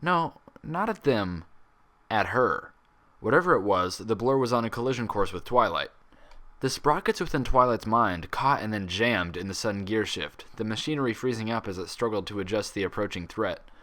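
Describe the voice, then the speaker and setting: A man's voice: lowish voice, expressive, moderate pace, a bit bright. A man reading fan fiction aloud as scripted story narration.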